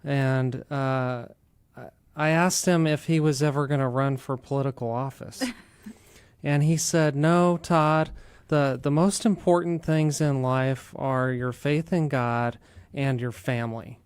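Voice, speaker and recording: A man's voice: medium pitch, fairly even intonation, slow, clean tone, no room sound. A man hosting a talk radio show in a conversational interviewing style.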